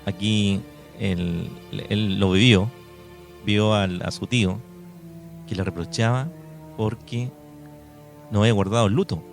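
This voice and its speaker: A man's voice: lowish voice, steady tone, a little slow, vocal fry, pauses often. A man with a Chilean accent co-hosting a radio show, commenting in a conversational way. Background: music.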